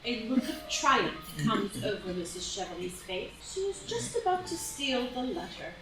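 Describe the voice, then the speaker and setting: Animated woman narrator, roomy sound. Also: a little high, moderate pace, noisy background. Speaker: narrator reading stage directions. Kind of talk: scripted drama narration. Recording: echoey, distant live reading with music.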